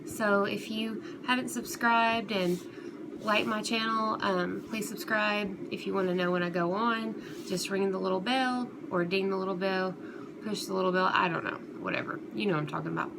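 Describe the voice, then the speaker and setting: A woman talking casually and unscripted to her viewers as an online commentary host, in a halting, rambling, conversational way. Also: mid-range voice, fairly even intonation. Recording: clear background noise throughout.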